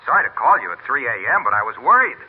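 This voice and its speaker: An actor playing an eager, earnest salesman in a 1940s radio comedy, effusive and smooth-talking, on an old broadcast recording. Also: medium pitch, very animated, very fast, narrow, tinny audio. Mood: concerned. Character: eager, earnest salesman forever pitching floor wax.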